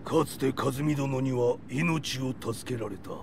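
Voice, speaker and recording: An actor playing a savage, solemn martial arts demon in a video game, gravelly and archaic in Japanese. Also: male voice, medium pitch, a little fast, background noise, natural voice. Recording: loud cinematic music behind.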